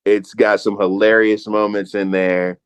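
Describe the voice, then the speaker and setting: A man hosting a podcast, a music producer telling the story of a project in a relaxed, rambling way. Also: fairly low pitch, a little slow, clean tone, dry recording. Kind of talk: casual podcast chat. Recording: dull, muffled mic.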